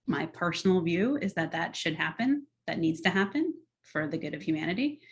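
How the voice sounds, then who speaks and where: A woman's voice: on the low side, lively intonation, fairly quick. A middle-aged woman answering podcast interview questions in an articulate, earnest, enthusiastic way. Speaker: guest, tech policy executive.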